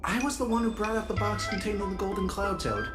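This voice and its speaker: A woman voicing an evasive, defensive male dock worker in dramatic video game dialogue, hesitant and given to sharp retorts. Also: medium pitch, animated, fast, close to an everyday voice. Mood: nervous, evasive. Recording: loud music under the voice.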